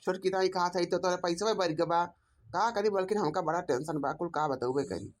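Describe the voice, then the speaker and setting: A woman's voice: on the low side, fairly quick, lightly acted. A woman with an Awadhi accent voicing a pleading, put-upon grown village son and husband in a cartoon comedy. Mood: troubled. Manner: complaining, self-justifying.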